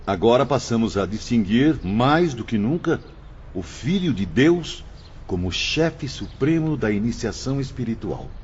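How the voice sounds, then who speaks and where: A man's voice: medium pitch, unhurried, slight background noise. An earnest, self-doubting pupil in an audiobook drama. His formal lines rise and fall widely, on a slightly muffled recording with faint ambience behind. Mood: reverent. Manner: earnest, expressive, formal diction. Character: humble, earnest male student spirit, unsure of himself. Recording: dull lo-fi, faint background ambience.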